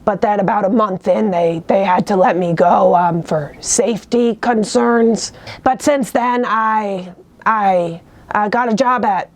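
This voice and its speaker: Woman doing an awkward creaky wannabe vlogger. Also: medium pitch. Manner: hesitant, halting, oblivious. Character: awkward young female self-styled ASMR vlogger. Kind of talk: comedic character monologue.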